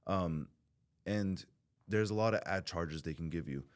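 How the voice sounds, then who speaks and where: A man's voice: deep voice, unhurried. A man from Southern California speaking as a podcast guest, talking candidly and bluntly, swearing freely and restarting his sentences as he rambles.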